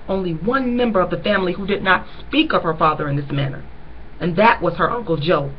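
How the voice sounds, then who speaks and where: A woman's voice: mid-range voice, highs cut off, slight background noise. A woman reading a novel aloud chapter by chapter. She stops now and then to point out important lines to her listeners in an earnest voice. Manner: earnest, pauses to stress key lines to listeners. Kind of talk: reading aloud with personal commentary.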